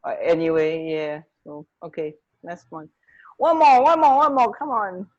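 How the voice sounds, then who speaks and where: A woman's voice: lowish voice, very animated, unhurried. A woman co-hosting a radio show in a casual, informal chat style, with slightly muffled audio. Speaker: radio co-host.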